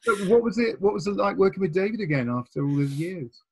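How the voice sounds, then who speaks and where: A man's voice: sits high, lively intonation, quick pace, creaky, slightly rough. A man hosting a panel interview in casual, conversational style, feeling his way into his questions. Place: remote online video panel during lockdown.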